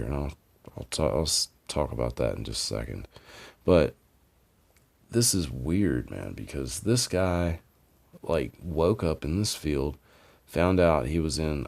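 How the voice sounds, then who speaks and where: Very deep, gravelly casual male podcast host. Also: a little mellow. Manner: informal, digressive, self-correcting. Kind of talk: casual, rambling retelling of mysteries.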